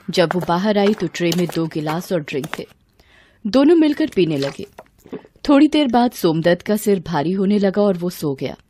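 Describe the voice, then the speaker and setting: Woman telling a scripted story, mellow voice, very dry sound. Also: medium pitch, dry recording. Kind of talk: scripted story narration with acted dialogue.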